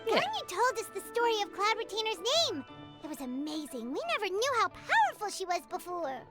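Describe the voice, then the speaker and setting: A woman voicing a small, childlike fairy companion in a video game, excitable and chirpy. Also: very high voice, fairly quick, loud music behind the voice. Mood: excited. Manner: childlike, excitable, third-person self-reference. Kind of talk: video game character acting.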